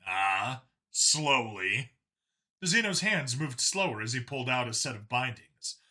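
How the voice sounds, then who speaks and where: A man's voice: mid-range voice, animated, a little fast, sharp tone. A man reading science fiction as a dramatic scripted narration. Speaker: story narrator.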